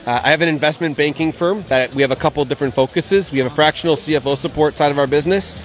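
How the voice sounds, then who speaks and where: A man's voice: on the high side, racing. A man, around his thirties, answering questions about his work in an outdoor street interview. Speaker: interviewee, investment banking business owner. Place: outdoor patio at an event. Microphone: handheld interview mic.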